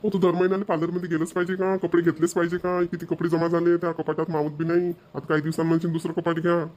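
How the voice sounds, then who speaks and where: Male actor, nagging young husband, cartoon, Vidarbha Marathi accent, brisk delivery, slightly high-pitched. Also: level tone, darkish tone, muffled recording, natural voice. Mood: exasperated. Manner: nagging, complaining. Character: harried young husband, exasperated, thrifty and scolding. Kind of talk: amateur cartoon voice acting.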